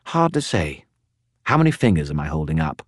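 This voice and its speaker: A man's voice: a bass voice, sing-song, brisk, breathy edge, no room sound, clean studio sound, exaggerated voice. A man voicing a chatty, breezy male spirit living in a skull. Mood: glib.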